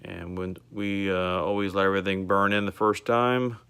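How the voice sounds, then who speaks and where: Low-voiced man giving an informal demo. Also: flat delivery, normal speed, bright, forceful tone, clean tone. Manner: explanatory, step-by-step. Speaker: car radio restorer demonstrating a converted radio. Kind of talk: informal product demonstration to a customer.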